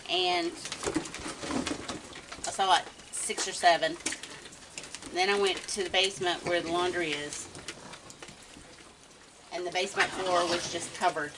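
A woman in her fifties chatting casually on a night-time deck, telling a story slowly with many pauses and softly greeting animals between sentences. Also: lowish voice, expressive. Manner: slow, halting storytelling with many ums, breaking off to coo softly at animals. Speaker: wildlife feeding livestream host. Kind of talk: casual chat while feeding raccoons. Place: outdoor wooden deck at night. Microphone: fixed camera from a few meters away. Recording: distant voice with clear background noise outdoors.

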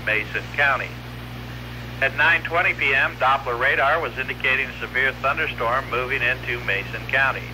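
A male weather radio announcer with a mid-pitched voice reads a scripted severe storm warning. The 1990s broadcast recording has clear noise and hum behind him.

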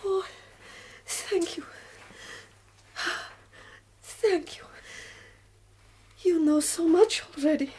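An actress playing a proud, weary middle-aged revolutionary woman in a radio drama, speaking slowly and deliberately. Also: very high-pitched, dark tone, exaggerated voice. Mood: anguished confession. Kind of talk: BBC radio drama acting.

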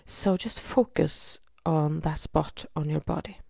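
A woman from Norway with a Norwegian accent, leading a guided energy healing session in a slow, soothing way with many pauses and fillers. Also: sits low, creaky, darkish tone, no room sound, clean studio sound. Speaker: energy healer.

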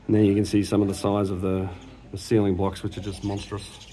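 A man's voice: fairly low pitch, monotone, brisk, mellow, a little hiss or hum. A man hosting an exploration video, giving casual, informal walkthrough commentary in an unscripted way. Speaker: host of an exploration video, tour leader.

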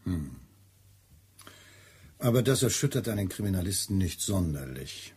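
An actor playing a polite, skeptical police inspector in a radio drama, with a husky voice and a dry, probing manner. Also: male voice, low-pitched, big pitch swings, unhurried, darkish tone, exaggerated voice. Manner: probing, dry, hesitant lead-ins. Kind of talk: German radio drama acting.

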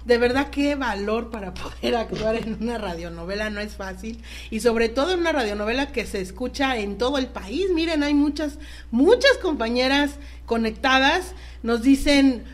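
A woman with a Mexican accent hosting a chatty radio show. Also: high-pitched. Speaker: radio host.

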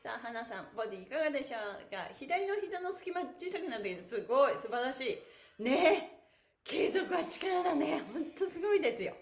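A woman's voice: high voice, very animated, fairly quick, vocal fry. A middle-aged woman, an effusive, playful and encouraging stretch instructor chatting to her livestream viewers. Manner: effusive, encouraging, playful, frequent laughing exclamations. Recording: echoey bare room.

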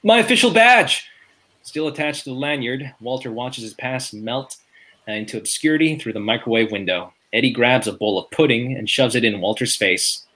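Bright-voiced man reading stage directions. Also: medium pitch, fairly quick. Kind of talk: scripted narration at a table read.

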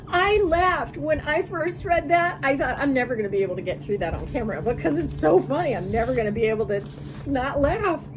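An American woman in her sixties chatting casually to camera and reading out comments in an expressive, giggly manner. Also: high-pitched, moderate pace, noisy background. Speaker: sewing vlog host. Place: bright curtained porch or sunroom.

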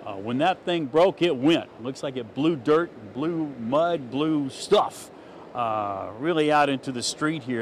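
An older man doing a rambling, conversational live news report from a flooded street at night, speaking into a handheld mic. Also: mid-range voice. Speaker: field reporter. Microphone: handheld station mic. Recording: faint street noise outdoors.

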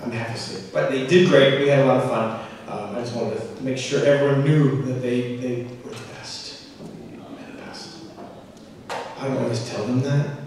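A man's voice: medium pitch, fairly even intonation, darkish tone, slight background noise. Rambling man on an auditorium stage. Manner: rambling, self-deprecating, halting with fillers. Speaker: school orchestra teacher. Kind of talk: casual remarks to an audience. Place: large school auditorium stage. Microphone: handheld mic, far camera. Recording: echoey hall from far off.

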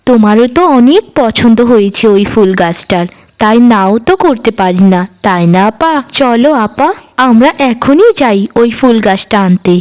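A woman's voice: a little high, lively intonation, normal speed, a little mellow, pitched below the performer's own voice, natural voice. A woman voicing an innocent, obliging young girl, the trusting youngest sister in a cartoon fairy tale. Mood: eager, obliging.

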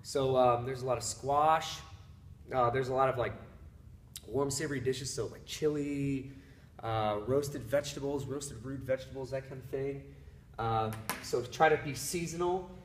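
An upbeat man teaches a casual class, his pitch sitting in a middle range. He talks loosely, with plenty of "uh" and restarts. The sound carries the echo of a bare room and a faint hum behind it. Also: normal speed. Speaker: nutrition coach. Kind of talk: whiteboard lesson. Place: indoor room in front of a whiteboard. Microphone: camera mic at a distance.

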